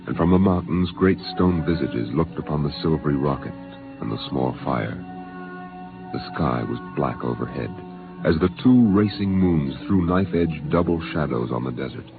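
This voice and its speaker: Solemn sci-fi storyteller, extremely deep, dramatic vintage narration, music behind, narrow radio sound. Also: male voice, normal speed. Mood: solemn, suspenseful. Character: solemn storyteller of a Mars expedition. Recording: narrow old radio recording.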